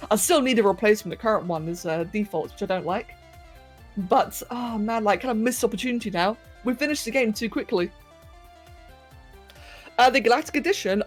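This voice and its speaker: Chatty woman streamer. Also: fairly high pitch. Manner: chatty, greets viewers, laughs often, asides to her pets. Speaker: livestream host. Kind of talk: casual chat with live chat while sculpting.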